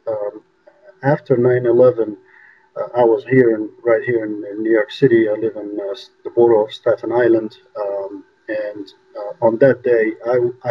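A man from Egypt, a guest on an interview show, talking by phone in a halting way with many fillers. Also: mid-range voice, breathy edge. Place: home in New York. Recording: dull lo-fi phone line.

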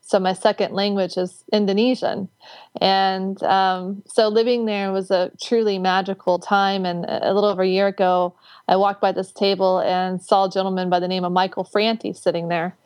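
A woman's voice: medium pitch, flat delivery, normal speed, a little mellow, clear, resonant tone, dry recording. A woman hosting an interview, talking in an effusive, rambling way with plenty of fillers and restarts. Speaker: host. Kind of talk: casual interview chat.

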